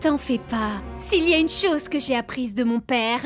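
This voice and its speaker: A woman's voice: high voice, moderate pace, over music, natural voice. A woman playing a kind, idealistic young princess of hell in a cartoon fan dub, earnest and hesitant. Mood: earnest, pleading.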